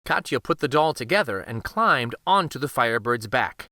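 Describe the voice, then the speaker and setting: A man reading a children's picture book aloud in an expressive, deliberate, theatrical storytelling style. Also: mid-range voice, no echo, studio quality.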